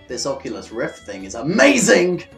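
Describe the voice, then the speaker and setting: Flippant young gamer guy, very animated man acting. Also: mid-range voice, normal speed, faint music behind. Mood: breezy. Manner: breezy, dismissive, deadpan obliviousness. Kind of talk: anime parody dub.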